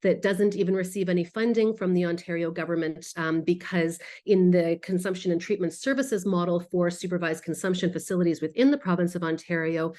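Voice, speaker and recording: A woman presenting research findings as a public health researcher, explaining in a measured way with small asides. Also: on the low side, steady tone, darkish tone. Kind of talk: research presentation, semi-scripted explanatory talk.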